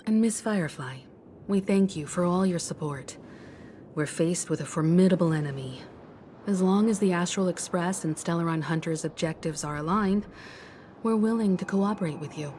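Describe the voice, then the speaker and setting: An actress playing a composed, courteous strategist leading a crew in a video game, formal and articulate. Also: female voice, on the low side, moderate pace, dark tone, a little hiss or hum, lightly acted. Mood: gracious, resolute. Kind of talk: measured video game dialogue.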